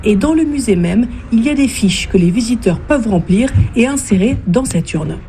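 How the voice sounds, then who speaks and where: A woman reporter reading a scripted news report in a measured, even way. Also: fairly high pitch, very noisy.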